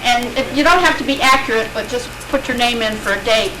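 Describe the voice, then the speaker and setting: A woman's voice: on the high side, a little fast. A woman volunteer organizer making informal, encouraging announcements and appealing for helpers at a meeting. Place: meeting room in a library. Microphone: distant room microphone. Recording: noisy echoey meeting room, distant microphone.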